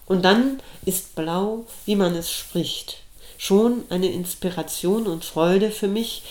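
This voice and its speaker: A middle-aged woman reading her own reflective personal essay aloud, in a slow, measured and thoughtful way. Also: fairly low pitch, darkish tone. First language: German. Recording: roomy echo.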